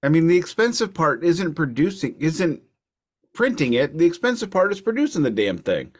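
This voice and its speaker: A man hosting a podcast, chatting casually about music in a wry way, leaning deliberately on key words. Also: on the high side, very animated. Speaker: podcast host.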